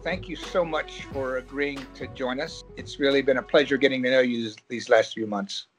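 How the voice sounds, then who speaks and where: A man hosting a storytelling podcast, asking warm, encouraging questions. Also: medium pitch, steady tone, moderate pace, over music. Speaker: podcast host. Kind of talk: interviewing on a podcast.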